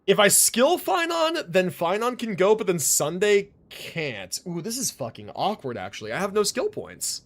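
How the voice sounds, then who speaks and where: A man's voice: high voice, big pitch swings, normal speed, hardly any pauses. A man doing casual live game commentary, thinking aloud in slangy, sweary language. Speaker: game livestreamer. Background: game music.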